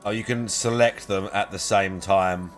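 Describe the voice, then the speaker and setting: Young guy narrating a game, snarky and sweary, mid-pitched, faint music behind. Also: steady tone, a little slow. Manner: sarcastic, profane, chatty. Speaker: gaming video host. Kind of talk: casual let's play commentary.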